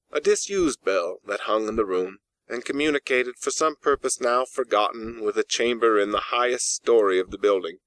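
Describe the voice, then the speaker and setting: A man reading a classic ghost story as an audiobook narrator, with deliberate, dramatic phrasing and emphatic pauses. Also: mid-range voice, a bit bright, no room sound.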